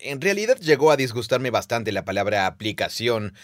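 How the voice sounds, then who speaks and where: A man co-hosting a podcast, explaining in a thoughtful, teacherly way at a middle pitch. He laughs easily. The sound is dry and close, with no room around him. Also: sing-song, normal speed, no echo. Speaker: podcast co-host, Bible teacher. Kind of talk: casual conversational podcast.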